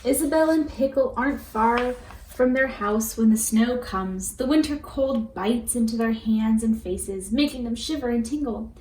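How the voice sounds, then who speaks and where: A woman with a fairly high voice reads a children's storybook aloud. Her delivery is expressive and deliberate, shaped for young listeners. The recording has a roomy echo and some faint background noise. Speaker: storybook reader. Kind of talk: children's read-aloud narration.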